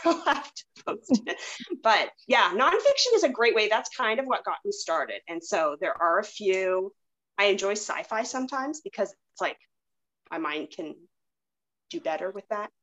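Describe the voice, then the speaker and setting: A woman's voice: mid-range voice, lively intonation, measured pace, fairly bright tone, lo-fi sound. A woman hosting a podcast, chatting casually and telling anecdotes in a rambling way. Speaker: podcast host. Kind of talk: casual chat.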